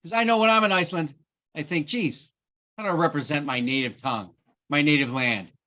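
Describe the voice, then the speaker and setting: A man hosts a chatty podcast with dry, sarcastic asides. His voice sits a touch high. The recording sounds dull and muffled. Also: fairly quick, pushed and bright. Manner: wry, sarcastic. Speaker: podcast host. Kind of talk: casual chat.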